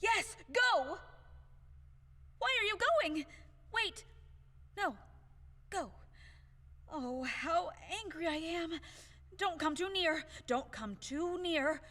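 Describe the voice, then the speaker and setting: A woman's voice: very high voice, animated, slow pace, pauses often, bright, forceful tone, exaggerated voice. A woman acting a proud, fiery, indignant lady in an audio play, imperious and melodramatic. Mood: flustered, furious. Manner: imperious, melodramatic, contradicting herself. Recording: long hall reverb.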